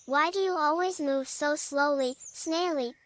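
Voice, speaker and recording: Bedtime-story forest critter, performed: small, gentle, childlike, very high-pitched, deliberate phrasing, faint nature sounds behind. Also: slight background noise. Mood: gentle, curious. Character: gentle young forest animal friends, slow snail and companion. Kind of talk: bedtime story character dialogue. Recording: nature ambience with birdsong behind. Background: birdsong and forest ambience.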